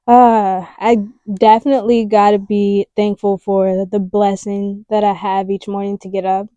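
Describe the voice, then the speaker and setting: A woman's voice: mid-range voice, little pitch movement, measured pace, mellow, no echo, lo-fi sound. A woman in her twenties co-hosting a podcast, talking in an easygoing, casual way. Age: 20s. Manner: easygoing, laughs often, speaks in short affirming replies. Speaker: podcast co-host. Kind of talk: casual chat.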